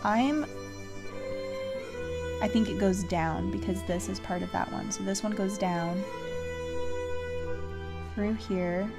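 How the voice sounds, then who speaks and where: A woman streams a game in a creaky voice at a medium pitch, slipping into vocal fry. She thinks aloud in casual, self-directed commentary with mild exclamations. Music plays clearly behind her. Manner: self-talking, with mild exclamations and sing-song filler syllables.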